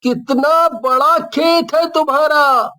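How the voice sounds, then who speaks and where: Slow-talking, eager young village boy played by an actor. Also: sits high, steady tone, sharp tone, full character voice. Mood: eager, curious. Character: village boy, curious, skeptical, eager, visiting grandmother. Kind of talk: animated story dialogue.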